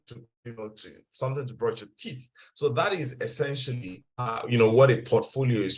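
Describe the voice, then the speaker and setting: A man presenting an online investment seminar, often stumbling and repeating words and checking whether listeners can hear him. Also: mid-range voice. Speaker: webinar presenter. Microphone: video call. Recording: dull lo-fi video call audio.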